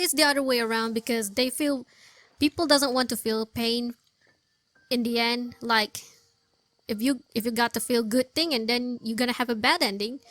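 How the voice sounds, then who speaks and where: High-voiced woman chatting over gameplay, dry mic. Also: fairly bright tone, no room sound. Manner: halting, repeats phrases, chatty. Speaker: gaming video host. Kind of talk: casual game playthrough commentary. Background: faint game music.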